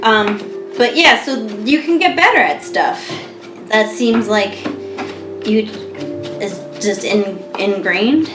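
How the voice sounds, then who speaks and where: An American woman in her thirties co-hosting a podcast, chatting casually with clear, careful diction and frequent laughter. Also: mid-range voice, expressive, takes it slowly. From United States. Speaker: podcast co-host. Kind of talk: casual chat. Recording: echoey room with music behind.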